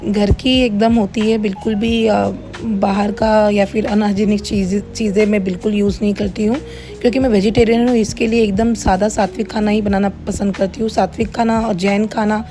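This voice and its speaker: A middle-aged woman narrating a casual home-kitchen vlog in a plain, everyday way, her voice slightly hoarse as if under the weather. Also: medium pitch, steady tone, moderate pace, mellow. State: mildly unwell, voice a little hoarse. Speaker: home cook running a cloud kitchen, vlogger. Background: background music.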